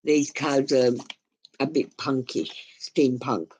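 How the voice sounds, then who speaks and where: Female live-stream tarot reader, unhurried and soft-toned, informal and plain-spoken in her advice. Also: a bass voice, slightly rough. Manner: blunt, informal, sweary. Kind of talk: casual live tarot reading, giving advice.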